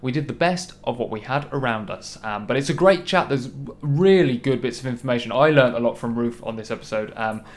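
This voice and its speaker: A man hosting a casual podcast, chatty and a little croaky from a hangover, with lots of ums. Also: mid-range voice, brisk, hardly any pauses. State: hungover, a bit croaky. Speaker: podcast host. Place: large room in a hostel. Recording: echoey large room.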